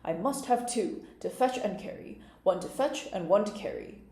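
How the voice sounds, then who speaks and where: Fussy, self-important storybook king, played by a woman reader, mellow but querulous, in a reverberant room. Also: lowish voice, moderate pace, natural voice. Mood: pompous, matter-of-fact. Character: fussy, impatient, easily alarmed chess king. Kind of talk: character voice in storybook reading.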